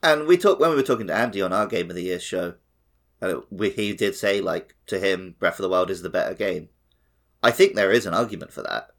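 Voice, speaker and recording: Animated, low-pitched man talking games. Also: fairly quick, creaky. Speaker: podcast co-host. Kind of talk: casual opinionated game chat.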